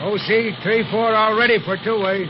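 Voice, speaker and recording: A male actor as a brash renegade flyer working for a crime boss in a vintage radio adventure. His voice is high for a man, with slangy, rough speech, under heavy noise on a dull old recording. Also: little pitch movement, moderate pace, close to an everyday voice. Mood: businesslike. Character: brash renegade aviator henchman, rough-spoken villain. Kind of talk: 1930s radio serial acting. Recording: noisy, muffled old 1930s radio recording.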